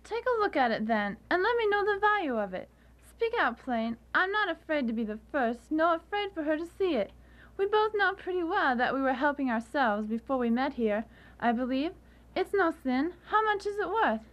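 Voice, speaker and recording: An actress playing a bold, unashamed thieving servant in a radio drama, brisk and demanding. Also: female voice, high voice, very animated, fairly bright tone. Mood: bold, impatient.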